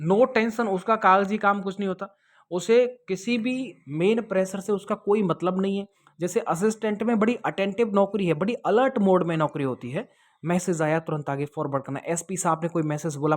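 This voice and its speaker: A man answering viewers' questions in a casual, advising way, often checking that the listener follows. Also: high voice. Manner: conversational, advising, often checks with "okay?" and "understood?". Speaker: host answering viewers' questions about police jobs. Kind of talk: casual explanatory Q&A to camera.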